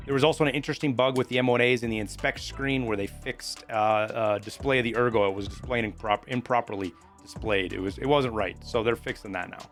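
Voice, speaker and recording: A man's voice: medium pitch, a bit bright. Casual gaming news man over music. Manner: informal, conversational, explanatory. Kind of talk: casual video game news commentary. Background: background music.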